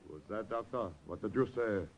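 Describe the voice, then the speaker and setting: An actor playing an imperious, suspicious Japanese colonel in an old radio drama. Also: male voice, on the low side, moderate pace, natural voice. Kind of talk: 1950s radio drama acting. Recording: faintly noisy old radio recording.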